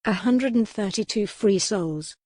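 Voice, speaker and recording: Woman as captive noblewoman, audiobook romance, defiant, sharp wit, formal pointed speech, medium pitch. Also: unhurried, dry recording, studio quality, close to an everyday voice. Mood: solemn, resolute. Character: defiant, sharp-witted captive noble lady, strong-willed. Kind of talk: audiobook character dialogue.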